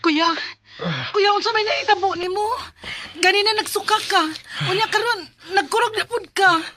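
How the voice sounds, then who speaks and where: Radio drama casting: caring little sister, high and bright. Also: female voice, normal speed. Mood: worried. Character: concerned, gentle younger sister confiding in her brother. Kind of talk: Bisaya radio drama acting.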